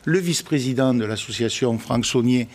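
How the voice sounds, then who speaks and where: A man's voice: medium pitch, moderate pace, a little mellow. A man guest on a talk show who explains club affairs in a precise, matter-of-fact way. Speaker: guest, former club president.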